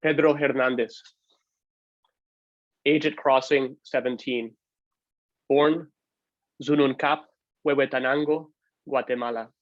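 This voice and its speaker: Male reader, mid-pitched, for oral history narration in measured phrases; dry, slightly dull recording. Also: fairly even intonation, no echo. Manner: measured phrase-by-phrase reading, occasional slips. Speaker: book co-editor reading aloud.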